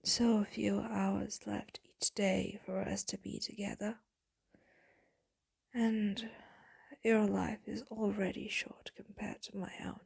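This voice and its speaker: Whispery, very breathy female vampire lover, acted by a woman, slow and wistful, gravelly edges, intimate ASMR roleplay. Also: mid-range voice, flat delivery, full character voice. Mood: aching, wistful. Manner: slow, hushed, doting, wistful.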